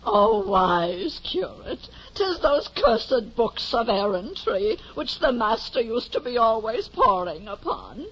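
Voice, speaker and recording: An actress playing a fretful, scheming housekeeper who talks with dramatic emphasis in a 1950s radio drama. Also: female voice, a little high, big pitch swings, takes it slowly, few pauses, full character voice. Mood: lamenting, indignant. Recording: muffled, noisy old radio recording.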